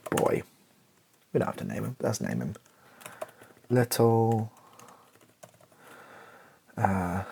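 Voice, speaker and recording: A British man improvising an ASMR writing session, thinking aloud in a rambling, halting, self-deprecating way. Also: fairly low pitch, vocal fry, darkish tone, slight background noise. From Britain. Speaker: YouTuber, ASMR video creator. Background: computer keyboard typing and clicks.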